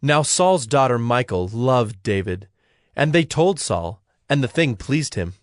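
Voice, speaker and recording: Casting: male narrator, mid-pitched, measured and solemn, scripted Bible storytelling with faint music. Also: animated, dry recording, clean studio sound. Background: background music.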